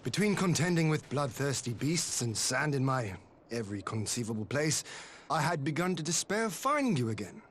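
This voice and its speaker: A man's voice: mid-range voice, sing-song, normal speed, a bit bright, slight background noise. A man acting a florid, theatrical adventurer in a fantasy video game, projecting. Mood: relieved, complaining. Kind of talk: dramatic video game dialogue.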